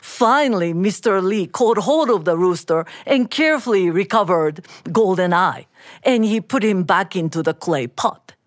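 A woman with a Japanese accent telling a folktale aloud in an animated, measured, dramatic way. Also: mid-range voice, dry recording, clean studio sound. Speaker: storyteller. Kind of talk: live oral folktale storytelling.

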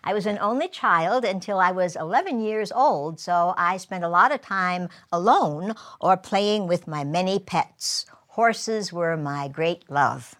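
A woman's voice: fairly low pitch, animated, a little slow, few pauses, a bit bright. Earnest female storyteller. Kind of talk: first-person historical storytelling. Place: performance studio with audience.

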